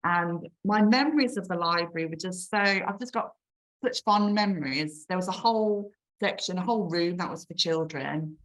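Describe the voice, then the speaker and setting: A woman's voice: on the low side, normal speed, poor audio. A woman in her forties with a Birmingham accent, chatting casually as an author on a video call, with frequent ums and restarts. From England. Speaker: children's author. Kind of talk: casual online talk.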